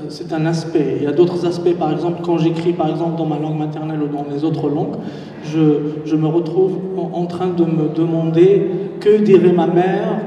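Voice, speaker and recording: A man's voice: on the high side, mellow, a little hiss or hum. A man speaking on a conference panel, hesitant and searching for words, recorded from a distance in a large hall. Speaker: panel writer. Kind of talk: halting conference talk. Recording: distant echoey hall, muffled.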